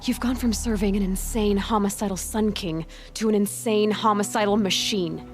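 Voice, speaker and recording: A woman's voice: mid-range voice, fairly even intonation, moderate pace, natural voice. A woman playing a defiant young captive warrior in a video game, talking back in a blunt, sardonic way. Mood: scornful, defiant. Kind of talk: video game cutscene acting. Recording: voice under background music.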